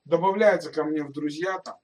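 A man's voice: on the high side, a little fast, a little mellow, recorded from a distance. A male pastor giving a sermon in a conversational way, speaking straight to his listeners and asking them rhetorical questions.

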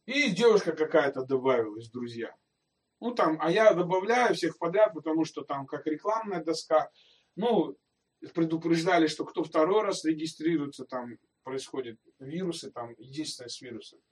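A man preaching with a high-pitched voice, talking to his congregation as if in conversation and putting questions to them. He sounds some way off the microphone, so the voice is a little distant. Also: expressive, normal speed. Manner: conversational preaching, addressing listeners directly with rhetorical questions. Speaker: pastor. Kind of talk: sermon.

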